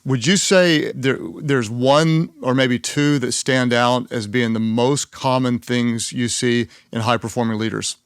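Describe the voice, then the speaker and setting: A man's voice: medium pitch, fairly even intonation, unhurried, hardly any pauses, smooth, dry recording, clean studio sound. A man hosting an interview, listening closely with frequent affirming murmurs and asking thoughtful questions. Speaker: podcast host. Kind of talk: interview host.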